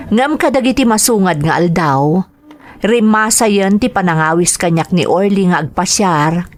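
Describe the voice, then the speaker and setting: A woman with an Ilocano accent playing a dutiful, earnest eldest daughter in a sentimental radio drama. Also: lowish voice. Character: dutiful eldest daughter, young woman going overseas to work. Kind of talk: Ilocano radio drama acting and first-person narration.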